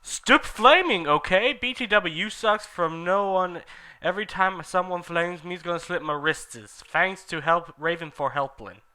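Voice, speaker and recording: A man's voice: a little high, normal speed, hardly any pauses, bright, forceful tone, voice pushed up. A man voicing a petulant teenage writer who rants defensively at critics. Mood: bratty. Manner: bratty, defensive ranting. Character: petulant teenage fan-fiction author, furious at critics.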